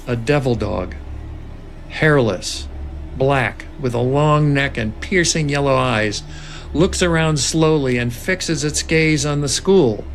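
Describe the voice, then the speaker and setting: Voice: mid-range voice, slight background noise. A narrator reading scripted stage directions aloud at a film table read.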